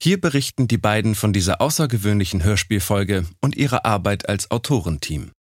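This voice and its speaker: A man presenting a special feature in calm scripted narration. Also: lowish voice, brisk, dry recording, studio quality.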